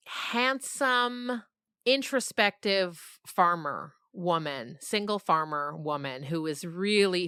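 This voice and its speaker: A woman being interviewed as a novelist, telling stories casually in an animated, rambling way with emphatic descriptions. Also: sits low, smooth, no room sound, studio quality.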